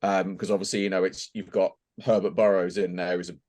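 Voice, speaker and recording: Man, fairly low voice, casual podcast talk, hesitant, rambling, thinking out loud. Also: level tone, brisk. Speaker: voice actor, podcast guest.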